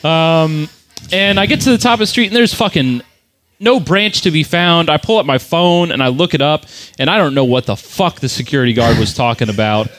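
A man's voice: a little high, animated, a little fast, a bit bright, no echo. A man casually telling a long story, rambling, swearing freely and filling his speech with "like". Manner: rambling, profane, lots of "like" fillers.

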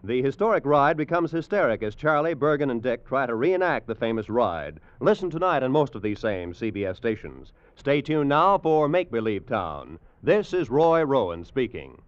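A man's voice: medium pitch, big pitch swings, normal speed, few pauses, no room sound. A man reading scripted announcements as a 1950s radio network announcer. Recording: dull vintage radio recording.

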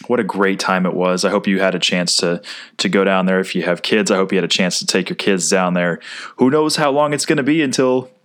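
Low-voiced man in his thirties, easygoing podcast host, rambling and chatty. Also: brisk, fairly bright tone. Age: 30s. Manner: chatty and rambling, with many "uh" fillers and restarts. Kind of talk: casual solo podcast monologue.

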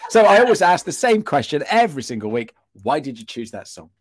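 A man's voice: fairly high pitch, very animated, quick pace, slightly rough, no echo, clean studio sound. A man hosting an interview show, chatty and enthusiastic in a casual way. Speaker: podcast host.

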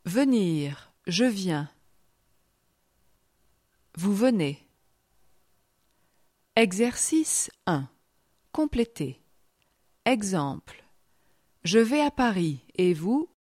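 A woman teacher reading slow, clear repetition drills for learners, with deliberate pronunciation and pauses. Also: mid-range voice, sing-song, a little mellow, dry recording, clean studio sound. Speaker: language teacher. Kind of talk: slow scripted language drill.

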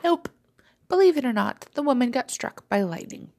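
A woman's voice: high voice, very animated, normal speed, vocal fry, a little mellow, voice pushed up, exaggerated voice. A woman voicing a wry, forgiving middle-aged mother in an audiobook story, dry and matter-of-fact.

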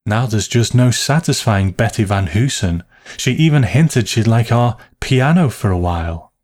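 A man's voice: medium pitch, lively intonation, moderate pace, a little mellow, natural voice. A man voicing a nervous, placating mother in an audiobook story, speaking with cautious, anxious care. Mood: resigned, fretful.

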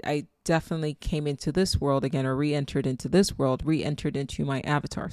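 A woman's voice: deep voice, no echo, clean studio sound. A woman with a Nigerian accent hosting a solo podcast, musing reflectively and meandering as she talks. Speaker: podcast host. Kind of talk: casual solo podcast monologue.

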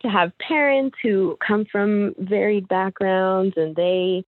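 A woman's voice: mid-range voice, takes it slowly, no room sound. A young woman interview guest, talking in a hesitant, rambling way with many restarts. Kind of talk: casual interview chat. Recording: dry, tinny phone-like line.

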